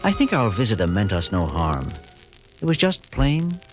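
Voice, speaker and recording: A commanding male starship captain in a vintage record drama. His delivery is earnest and clipped, swinging widely in pitch, with music underneath. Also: wildly swooping pitch, normal speed. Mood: reflective, wistful. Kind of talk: 1970s children's audio drama acting.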